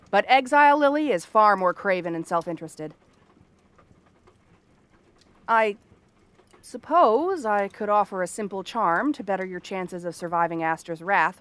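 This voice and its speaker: A woman's voice: medium pitch, fairly bright tone, lightly acted. A woman playing a cranky exiled princess turned frog girl in a fantasy ASMR roleplay, haughty, sarcastic and theatrical. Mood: grudgingly conceding. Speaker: voice actress.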